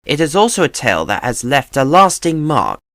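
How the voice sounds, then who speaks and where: A man narrating a true tale as scripted, dramatic storytelling in a measured, grave manner. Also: fairly high pitch, sing-song, fairly bright tone, dry recording.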